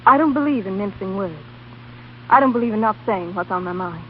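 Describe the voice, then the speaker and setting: A woman's voice: mid-range voice, lively intonation, a little fast, slight background noise, lightly acted. An actress playing an ardent, vulnerable woman in love, with an earnest, plaintive delivery in an old-time radio drama. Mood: tender, wistful. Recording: dull vintage radio recording, music underneath.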